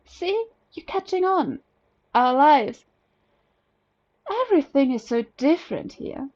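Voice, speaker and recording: A woman's voice: high-pitched, animated, slow, a little mellow, lo-fi sound, pitched above the performer's own voice. A woman voicing a friendly, chatty male bruiser of a prison inmate in a story, breezy and familiar. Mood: earnest, knowing.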